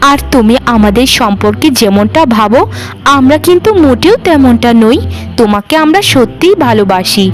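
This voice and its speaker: A woman's voice: fairly high pitch, expressive, normal speed, close to an everyday voice. A woman voicing a meek, long-suffering young village housewife in a cartoon, speaking in an earnest, placating way. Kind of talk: village moral-story cartoon acting. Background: background music.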